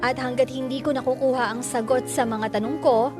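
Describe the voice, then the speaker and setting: A woman's voice: high voice, fairly even intonation, brisk, close to an everyday voice. A woman playing a wounded, resentful grown child, narrating reflectively in the first person for a Filipino radio drama. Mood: bitter, brooding. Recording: loud music under the narration.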